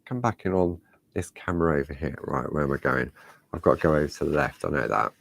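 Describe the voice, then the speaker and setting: A man with a slightly croaky voice, demonstrating a parchment craft step by step and chatting to himself as he works. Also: very deep voice, quick pace, a bit bright. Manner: chatty, talks to himself while working. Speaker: craft tutorial host.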